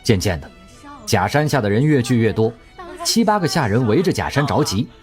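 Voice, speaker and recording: A man narrating an audio drama in a wry, expressive storytelling manner. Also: mid-range voice, a little fast. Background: faint background music.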